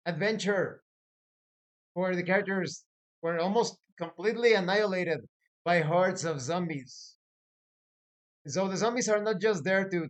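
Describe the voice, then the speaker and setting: A man hosting a tabletop gaming advice video as a game master, speaking in a deliberate, emphatic way with dramatic lists. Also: high-pitched, poor audio. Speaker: host, professional game master.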